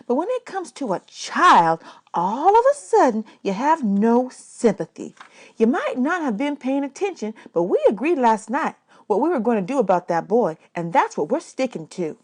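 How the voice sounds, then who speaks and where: A woman's voice: high voice, a little mellow, pitched above the performer's own voice. A woman voicing a firm, protective woman in a storybook, speaking emphatically and indignantly. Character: firm, protective woman scolding in defense of a child.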